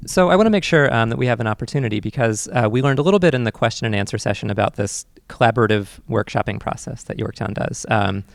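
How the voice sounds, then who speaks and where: A man's voice: medium pitch, fast talker, creaky, hardly any pauses, no room sound, clean studio sound. A man hosting an interview, putting questions in a conversational way. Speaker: interview host.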